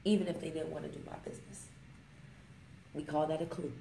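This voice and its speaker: A woman's voice: low voice, vocal fry, warm tone. Woman, motivational vlog, preachy and emphatic, smartphone mic, echoey room, faint background noise. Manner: emphatic, preachy, fond of rhymes, slows down to stress points. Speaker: network marketing vlogger. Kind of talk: motivational vlog talk to camera.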